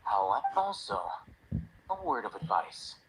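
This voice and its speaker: An actor playing a composed, aloof, coolly confident young scholar in a video game, deadpan, precise and formal. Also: male voice, fairly low pitch, normal speed, slight background noise, low-quality recording. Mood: cool, measured.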